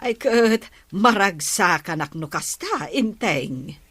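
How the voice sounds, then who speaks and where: A woman's voice: medium pitch, animated, slow pace, fairly bright tone, husky, exaggerated voice. A woman acting a plain-spoken, caring villager in an Ilocano comedy radio drama, with an Ilocano accent. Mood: approving.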